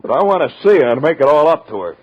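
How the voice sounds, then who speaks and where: An American actor playing a moody, sardonic, lovesick sketch artist with an obsessive streak, clipped in delivery, in a 1950s radio drama. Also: male voice, fairly high pitch, big pitch swings, rapid-fire, breathy edge. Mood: intense, obsessive. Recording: dull, muffled vintage radio recording.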